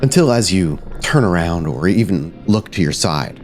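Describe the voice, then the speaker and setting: A man narrating a tabletop horror game as storyteller, suspenseful and deliberate with dramatic pauses. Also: low voice, very animated, a little mellow, soft background music.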